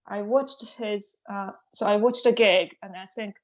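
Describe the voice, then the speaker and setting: Slow-talking Japanese-native woman. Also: mid-range voice, fairly even intonation, highs cut off. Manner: hesitant, halting phrasing with frequent laughs. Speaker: comedian guest. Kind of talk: casual podcast chat.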